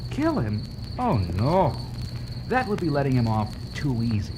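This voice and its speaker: An actor playing a vengeful fired scientist in an audio drama, smug and taunting, speaking with deliberate menace. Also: male voice, mid-range voice, huge pitch swings, background noise. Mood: gloating, bitter. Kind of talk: 1970s children's record audio drama acting.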